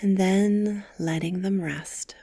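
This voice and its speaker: A woman leading a guided meditation with soft, gentle, soothing instructions, breathy and often close to a whisper. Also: medium pitch, steady tone, slow, no echo.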